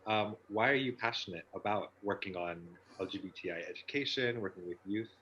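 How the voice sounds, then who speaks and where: A man's voice: lowish voice, brisk, pushed and bright, highs cut off, slight background noise. A man with an upbeat, enthusiastic manner, hosting an online panel discussion over a video call. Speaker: host, organization founder.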